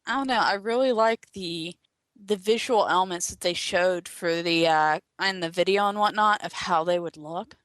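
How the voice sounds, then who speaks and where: A woman's voice: fairly low pitch, moderate pace, fairly bright tone, no room sound. A woman chatting informally and playfully as a podcast panelist. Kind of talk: casual chat.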